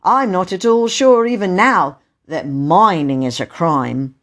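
A woman's voice: on the low side, a little slow. A woman voicing a proud, stubborn young boy in a storybook, earnest and huffy as he justifies himself. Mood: sulky, stubborn. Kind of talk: storybook character voice.

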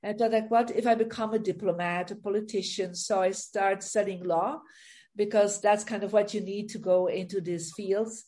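A woman's voice: mid-range voice, steady tone, moderate pace, dark tone. A middle-aged woman from Belgium with a Belgian accent, telling her story as a guest in a casual interview, with light laughs. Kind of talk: interview on a video call.

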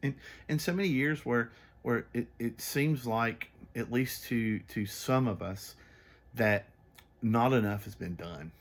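A man's voice: medium pitch, unhurried. A man in his thirties talking earnestly in a casual faith conversation, repeating words as he searches for them. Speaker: podcast co-host.

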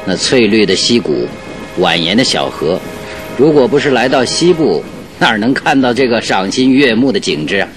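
A man in a Chinese radio drama playing a calm, self-assured detective who speaks in a deliberate, instructive way. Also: lowish voice, music in the background.

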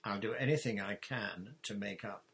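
A blustering middle-aged pirate, voiced by a man reading aloud, talks in a husky, hearty way. He sounds boisterous and full of bluster. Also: on the low side, moderate pace, a bit bright, full character voice. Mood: contrite, hearty. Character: gruff middle-aged male retired pirate.

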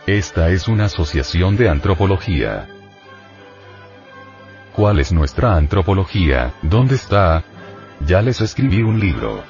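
A man's voice: deep voice, darkish tone. A man giving an informal lecture to his students as their spiritual teacher, insistent and admonishing, putting rhetorical questions to them. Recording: dull lo-fi recording with faint music.

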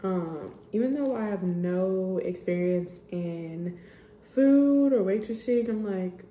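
A woman's voice: medium pitch, takes it slowly, warm tone. A young woman in her twenties sniffling a little while she chats in a candid, rambling way as a podcast host. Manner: rambling, candid, full of "like" and "I just". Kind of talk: casual personal chat. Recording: echoey room.

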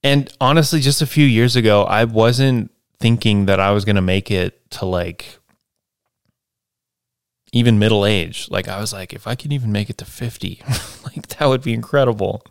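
A man in his late twenties talks alone into a close mic, his lowish voice smooth and resonant. The sound is dry and intimate. He rambles and reflects, checking in with little "right?" tags as he goes. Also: moderate pace, no echo. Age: 20s. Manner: rambling, reflective, lots of fillers and tag questions. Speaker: podcast host. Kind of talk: casual solo podcast monologue.

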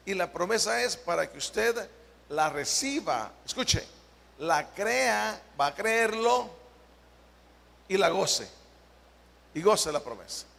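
Slow, gravelly Salvadoran preacher in a hall. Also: male voice, high voice, big pitch swings, fairly bright tone. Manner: slow, emphatic preaching that stretches key words and questions the congregation. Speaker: pastor. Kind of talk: sermon. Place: church hall. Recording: long church-hall reverb.

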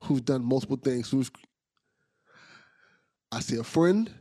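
Excitable man hosting a podcast, husky. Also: medium pitch, measured pace, dry recording, studio quality. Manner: enthusiastic, laughs often, repeats words. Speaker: podcast host. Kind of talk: casual podcast chat.

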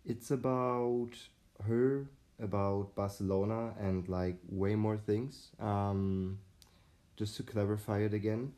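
Male podcast host, low warm tone, rambling delivery full of fillers. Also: steady tone, takes it slowly, roomy sound. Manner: rambling, many fillers and restarts. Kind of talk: casual conversational podcast.

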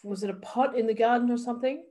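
A woman's voice: on the high side, fast talker, dark tone. A woman hosting a casual interview, prompting her guest and encouraging her with short affirming replies. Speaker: host, personal trainer.

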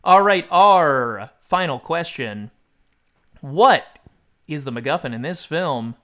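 Higher-pitched man hosting a comic film quiz. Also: expressive, slow, a bit bright. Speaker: podcast quiz host. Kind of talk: comic film trivia banter.

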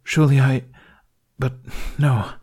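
A man's voice: medium pitch, steady tone, unhurried, darkish tone, husky, pitched above the performer's own voice. A man voicing a confused, distraught male Jedi master whose voice cracks as he pleads and despairs.

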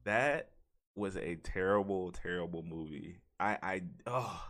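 A man's voice: low voice, a little slow, fairly bright tone. A man co-hosting a podcast, chatting in a joking, informal, slangy way. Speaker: podcast co-host. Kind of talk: casual chat about video game movies.

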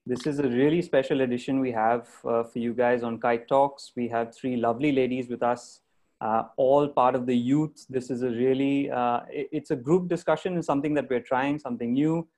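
A man hosting a live online group discussion over a video call, talking haltingly with many fillers and restarts. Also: mid-range voice, steady tone, darkish tone. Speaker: host.

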